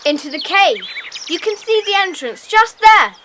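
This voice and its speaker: Schoolboy leader, bossy, high bright voice, audio drama, faint tape noise. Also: female voice, sing-song, moderate pace, full character voice. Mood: eager, commanding. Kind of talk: children's audio drama acting. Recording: faintly noisy cassette tape.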